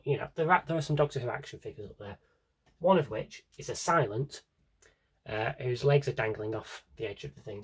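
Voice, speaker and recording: A man's voice: mid-range voice, slightly rough. A man giving a casual, rambling show-and-tell to viewers, chatty and enthusiastic, drifting into asides to himself. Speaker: video host showing a personal CD collection.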